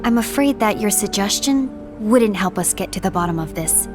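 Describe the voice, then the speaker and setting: An actress playing a composed, diplomatic young woman in a fantasy game, speaking formally and with measured politeness. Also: medium pitch, lively intonation, over music, natural voice. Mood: measured concern. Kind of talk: scripted game cinematic acting.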